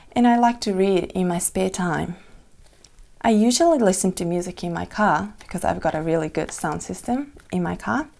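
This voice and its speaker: A woman's voice: mid-range voice, vocal fry, a little mellow. A young woman from Japan answering exam questions in simple, careful English with small slips. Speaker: test candidate. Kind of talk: mock speaking exam answers.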